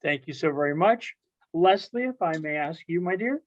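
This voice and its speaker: A woman's voice: sits low, lively intonation, moderate pace. Muffled, courteous woman hosting a reading. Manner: courteous, theatrical. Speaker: host of an online play reading. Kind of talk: introducing participants, announcing scenes. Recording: dull online call audio.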